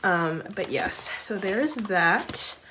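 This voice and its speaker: A woman's voice: medium pitch, expressive, drawn-out words, pushed and bright. Young woman, congested voice, chatty rambling vlog talk, faint hiss. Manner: chatty, rambling, often says um and like. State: slightly congested, recovering from laryngitis. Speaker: photocard collecting vlogger. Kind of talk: casual chat while sorting.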